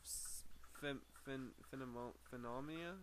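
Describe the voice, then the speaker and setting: Male amateur narrator, mid-pitched, book reading with frequent stumbles and repeats, noticeable background noise. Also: normal speed, a bit bright. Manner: stumbles over words and repeats phrases. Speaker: amateur audiobook reader.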